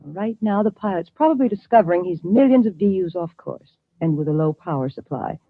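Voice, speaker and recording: An actress playing a cool, calculating and ambitious villainess in an old-time radio science fiction drama. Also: female voice, fairly low pitch, lively intonation, fairly quick. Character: scheming, ambitious villainess plotting against rival space lines. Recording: muffled vintage radio recording.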